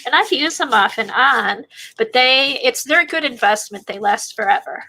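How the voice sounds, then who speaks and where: A woman's voice: high-pitched, lively intonation, moderate pace, sharp tone, low-quality recording. A woman with a congested, stuffy voice from a sinus infection, demonstrating a craft technique and thinking aloud as she works. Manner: rambling, thinking aloud while working. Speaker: art livestream host. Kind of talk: casual art demonstration.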